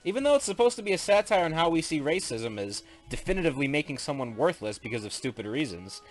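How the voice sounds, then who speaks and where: A man's voice: on the high side, sing-song, fast talker, soft background music. A man giving matter-of-fact, explanatory comments in casual spoken commentary.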